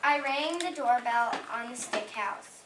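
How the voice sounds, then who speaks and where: Woman playing an aggrieved cheeky wolf, high voice, echoey. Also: unhurried, close to an everyday voice. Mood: cheeky, defensive. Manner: defensive, self-justifying storytelling. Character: aggrieved, cheeky storybook wolf pleading his innocence. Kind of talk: readers' theater stage reading. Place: library room, distant microphone. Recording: echoey, noisy room from far off.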